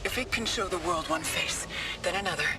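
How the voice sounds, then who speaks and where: An actor playing a haunted male voice from a recovered log, speaking in a slow, hushed, partly whispered way. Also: high-pitched, natural voice. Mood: brooding. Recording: distant voice under loud music. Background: loud game music.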